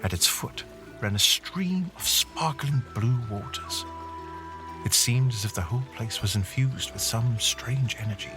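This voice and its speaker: A man narrating a scripted fantasy tale in a measured, dramatic storybook style. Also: mid-range voice, low-quality recording. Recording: music under the voice.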